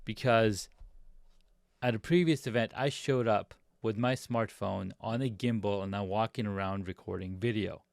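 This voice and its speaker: Guest spot: man in his middle years, low and smooth-voiced, raised in Pakistan, Saudi Arabia and the US, upbeat chatty storyteller. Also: moderate pace, dry recording, studio quality. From grew up in Pakistan, Saudi Arabia and the US. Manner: upbeat, chatty, talks with some restarts. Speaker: guest, designer and videographer. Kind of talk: casual storytelling on a video podcast.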